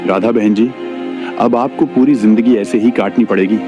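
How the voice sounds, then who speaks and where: A man's voice: lowish voice, fairly even intonation, normal speed, lo-fi sound, lightly acted. Man playing a sly greedy villager, music behind. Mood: scheming. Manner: sly, falsely reassuring. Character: greedy, scheming adult village labourer, deceitful. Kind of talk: Hindi moral story cartoon.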